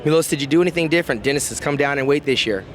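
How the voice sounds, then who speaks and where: A man in a mid-pitched voice reels off introductions and questions at a rapid clip, energetic and announcer-like. Faint noise runs behind him. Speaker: interviewer, event host. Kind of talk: live event reporting and interviewing.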